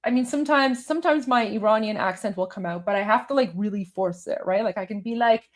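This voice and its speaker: A woman with a Toronto accent hosting a live show, commenting on a clip in an animated way, repeating her points with many fillers. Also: mid-range voice, fast. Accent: Canadian, Toronto. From Canada. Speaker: host.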